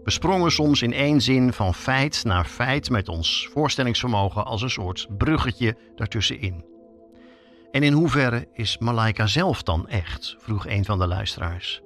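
A man's voice: mid-range voice, lively intonation, measured pace, fairly bright tone, soft background music. A man hosting a podcast as a journalist, reading scripted narration that explains things directly to listeners.

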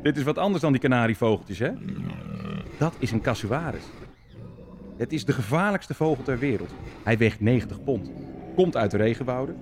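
Man playing lordly menacing prince, grand delivery, lively mid-range voice, historical radio play, clear background noise. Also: mid-range voice, moderate pace, long pauses, close to an everyday voice. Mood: menacing relish. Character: imperious, menacing Prince of Orange, stadholder.

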